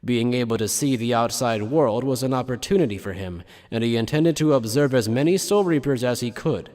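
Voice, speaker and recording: A man's voice: mid-range voice, a little fast, a little mellow, long reverb tail. A man reading scripted narration for an anime fan audio drama.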